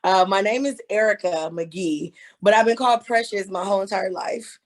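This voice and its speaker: A woman's voice: mid-range voice, normal speed. A woman in her thirties, a hairstylist and author, talking candidly as an interview guest. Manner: candid, storytelling, laughing. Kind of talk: casual interview.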